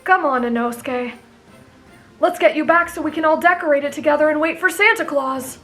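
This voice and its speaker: A woman acts out a kind-hearted teenage anime boy with a high voice. He is polite and earnest, explaining things gently and patiently, with faint music behind him. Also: fairly quick. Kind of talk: anime fan roleplay audio drama.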